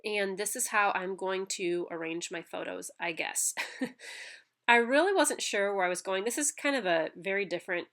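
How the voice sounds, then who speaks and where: A woman's voice: medium pitch, normal speed, a bit bright. A woman with a stuffy nose from a cold, chatting casually through a crafting voice-over with lots of ums and restarts. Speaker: scrapbooking channel host. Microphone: home voice-over mic.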